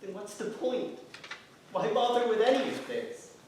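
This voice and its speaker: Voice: medium pitch, very animated, a little slow, darkish tone. An actor playing a proud, weary young man, a jailed shoemaker's apprentice, earnest and stubborn in a staged play reading. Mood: despairing. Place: theatre stage, distant microphone. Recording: very echoey theatre, far-off mic, faint noise.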